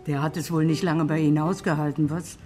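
A woman's voice: low voice, level tone, a little fast, mellow, soft background music, lightly acted. An actress playing a chatty, teasing newspaper kiosk woman in a children's radio play, talking in a wry, colloquial way.

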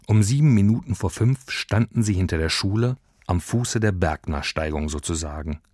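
A man's voice: deep voice, normal speed, a little mellow, no echo, studio quality. A man reading scripted narration for a children's story. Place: dry studio.

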